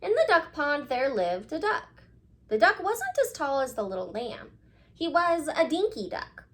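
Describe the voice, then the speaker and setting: Animated, higher-voiced woman reading to children. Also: a little slow. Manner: emphatic, addresses children directly, draws out key words. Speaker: children's read-aloud host, teacher-like. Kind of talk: expressive storybook reading and talk to children.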